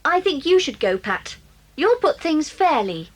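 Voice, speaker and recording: An actress with an English accent playing a stern, bossy, brisk schoolgirl in a children's audio drama. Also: sits high, sing-song. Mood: stern, decisive. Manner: brisk, decisive.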